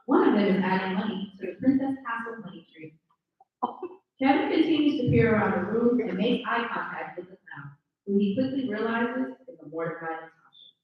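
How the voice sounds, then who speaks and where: A woman's voice: mid-range voice, animated, a little fast. A woman reading scripted stage directions as narrator at a live table read. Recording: very echoey room, distant dull mic.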